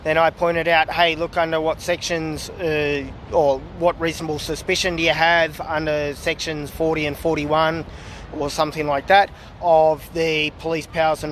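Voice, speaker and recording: A man's voice: a little high, a bit bright, a little hiss or hum. A man talking casually to viewers while filming outdoors, rambling with many fillers and asides, and he says he is losing his voice. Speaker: political vlogger. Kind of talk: casual livestream talk to viewers. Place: outdoors in a public town area.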